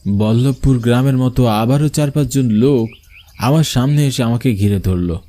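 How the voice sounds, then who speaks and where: A man's voice: medium pitch, normal speed, mellow, close to an everyday voice. A man playing a frightened village showman, narrating his own horror story for a cartoon. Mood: worried, fearful. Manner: dramatic storytelling. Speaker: narrator and main character. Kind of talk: scripted first-person horror cartoon narration.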